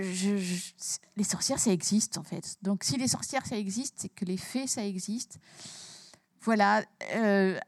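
A woman's voice: medium pitch, darkish tone, dry recording, studio quality. A woman author from Belgium, talking emphatically about her book in an interview and repeating words as she starts her sentences.

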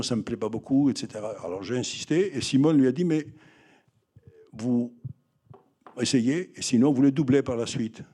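An older film director recalling his early films in an interview, halting and full of restarts. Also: mid-range voice, mellow, slightly rough. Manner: halting, with many fillers and restarts. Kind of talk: reminiscing interview answers.

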